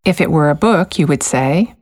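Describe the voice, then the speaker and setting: Low-voiced woman narrating a language course. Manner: calm, encouraging, measured. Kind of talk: scripted instructional narration.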